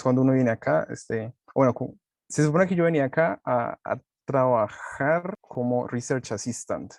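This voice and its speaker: A young man from Colombia speaking on a panel in a casual, halting way, full of restarts and repeated words. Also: mid-range voice, creaky, darkish tone, no echo. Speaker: panel guest, master's student.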